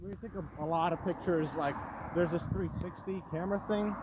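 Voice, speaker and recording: A man's voice: sits high, steady tone, fairly quick, dull audio, noisy background. Laid-back young man chatting outdoors. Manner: laid-back, swears casually. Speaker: visiting friend. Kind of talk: casual chat. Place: outdoors on a street at night.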